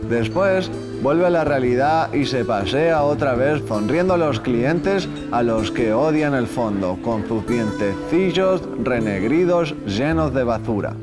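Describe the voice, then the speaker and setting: A man playing an elderly, courteous Spanish novelist who tells his life and reads a passage in a formal, measured way, in a scripted educational drama. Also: medium pitch, expressive, music in the background, close to an everyday voice. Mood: storytelling. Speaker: actor playing a historical writer.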